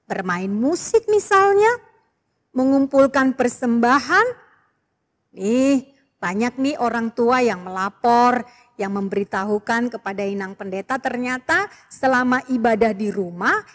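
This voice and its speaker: A woman's voice: fairly high pitch, big-room sound. A woman giving a sermon to Sunday school children and addressing them directly. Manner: warm exhortation, addresses the children.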